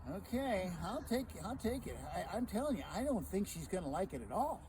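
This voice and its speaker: A man's voice: high voice, big pitch swings, a little fast, pitched above the performer's own voice. A man voicing a friendly but skeptical neighbor, with a noisy recording. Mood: doubtful.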